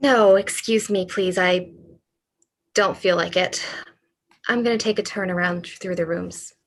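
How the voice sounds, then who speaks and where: A woman's voice: lowish voice, fairly even intonation, a little slow. A woman playing a sullen, wounded young writer, withdrawn and curt, in a play reading over a video call. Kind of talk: cold read of a classic stage play.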